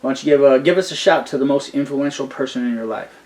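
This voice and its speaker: A relaxed American man asks the questions in a casual interview. He keeps his tone easygoing and encouraging and pauses on "uh" as he thinks. He sits a little back from the mic, so his voice sounds slightly distant. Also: mid-range voice. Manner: laid-back, encouraging, many "uh" fillers. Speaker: interview host.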